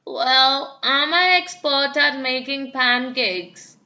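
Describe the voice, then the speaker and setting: A woman's voice: high-pitched, slow, pushed and bright, muffled recording, exaggerated voice. A woman voicing an easygoing, self-assured father pig in a children's picture book, hearty and jovial. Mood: cheerful, confident.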